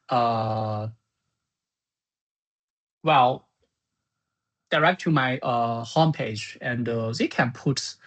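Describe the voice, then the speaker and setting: A software creator giving an informal spoken summary of business plans in a halting, think-aloud manner. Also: mid-range voice, slightly rough.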